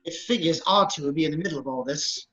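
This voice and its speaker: A man's voice: fairly high pitch, quick pace, fairly bright tone, muffled recording, close to an everyday voice. A man voicing a prim, fussy protocol robot with formal phrasing in an amateur table read. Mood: fussy worry.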